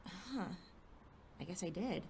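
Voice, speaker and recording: An actress in an audio drama playing a skeptical, cautious high school girl who argues back with dry sarcasm. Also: expressive, a little mellow, slight background noise. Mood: anxious, incredulous. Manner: dry, incredulous, quick to argue.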